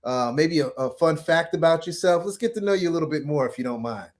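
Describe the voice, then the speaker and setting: A man's voice: on the high side, quick pace. A man hosting a casual, upbeat interview, effusive and informal in the way he talks to his guest. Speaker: podcast host interviewing a guest.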